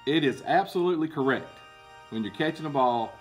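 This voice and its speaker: A middle-aged man reading a picture book aloud to children as a coach, explaining in a deliberate, emphatic, instructive way. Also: on the high side, lively intonation, soft background music. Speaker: baseball coach and PE teacher. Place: indoor school room with book shelves.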